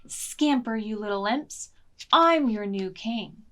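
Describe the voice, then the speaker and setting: A woman voicing a big, angry, greedy goblin king in a storybook, loud and domineering. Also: fairly high pitch, animated, slow. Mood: bossy, menacing. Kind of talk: storybook character voice.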